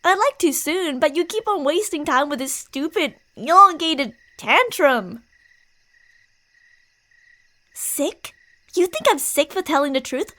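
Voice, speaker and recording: A woman's voice: high voice, lively intonation, a little slow, pushed and bright, full character voice. A woman playing a possessive, delusional young yandere bully in an ASMR roleplay, teasing, insistent and emotionally volatile.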